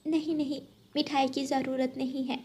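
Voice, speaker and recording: Lady playing gentle bird mom teacher, fairly high voice, sensible n instructive, cartoon. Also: flat delivery, moderate pace, natural voice. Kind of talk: Hindi children's moral cartoon dubbing.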